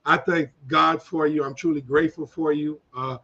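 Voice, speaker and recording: A man hosting a talk show, praising his guest with warm repetition and stumbling restarts. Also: fairly high pitch, steady tone, moderate pace, creaky, dull audio. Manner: effusive, repeats words, praising guest. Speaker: show host. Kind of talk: casual interview chat.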